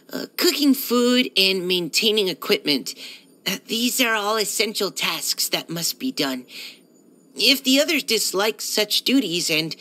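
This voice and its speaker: A woman voicing a polite, earnest young male military surveyor in a video game, with a hesitant, helpful manner. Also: mid-range voice. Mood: modest, hesitant. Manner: hesitant, soft-spoken politeness, eager to help. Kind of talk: video game character voice-over.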